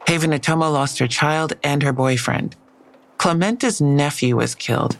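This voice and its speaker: Creaky-voiced, dry female detective. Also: low-pitched, normal speed. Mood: briefing, suspicious. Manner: dry, matter-of-fact. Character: skeptical, matter-of-fact female police detective. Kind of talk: audio drama acting.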